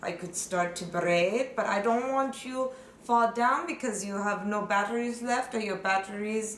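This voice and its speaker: A woman's voice: mid-range voice, moderate pace, a little hiss or hum, close to an everyday voice. A woman playing a scheming foreign caregiver, speaking broken English in a sly, sing-song way in an improvised comedy sketch. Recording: roomy echo.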